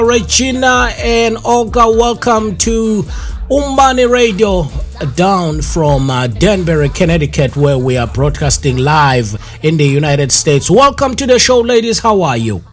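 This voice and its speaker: A man's voice: sits high, animated, normal speed, faint music behind. A man hosting a live radio interview, rambling with many fillers and repeating himself. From South Africa. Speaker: radio show host.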